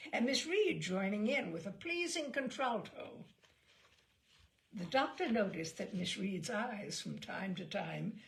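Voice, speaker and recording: A woman storyteller with a medium-pitched, noticeably creaky voice. She reads literary fiction expressively, in measured and articulate phrases. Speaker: storyteller, reader.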